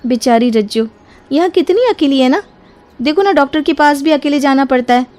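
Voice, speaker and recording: A woman's voice: sits high, a little fast, darkish tone, voice pushed up, lightly acted. A woman voicing a clever young daughter-in-law in a cartoon moral story, sweetly persuasive and emphatic. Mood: coaxing. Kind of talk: animated Hindi moral story dialogue. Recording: music behind the voice.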